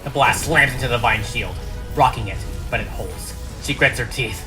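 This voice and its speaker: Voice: mid-range voice, moderate pace, over music. A narrator reading a script aloud in a dramatic, emphatic, theatrical style.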